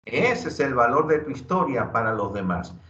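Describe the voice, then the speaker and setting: A man giving a motivational workshop talk, earnest and preacher-like, slowing down to stress key phrases. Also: medium pitch, sing-song, bright, forceful tone. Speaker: workshop speaker. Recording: dull lo-fi audio in an echoey room.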